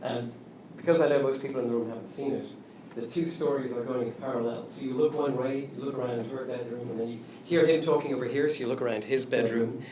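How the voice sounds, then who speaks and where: A man's voice: medium pitch, steady tone, quick pace, few pauses, warm tone. A man hosting a panel talk speaks informally as he moderates. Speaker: panel host. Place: indoor event room with audience. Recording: very echoey, noisy room, distant microphone.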